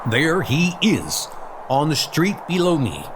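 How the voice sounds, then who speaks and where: A man's voice: a little high, wildly swooping pitch, slow, background noise, full character voice. A man playing a noble, resolute thunder god, speaking in an earnest, declamatory way for a comic-book dub.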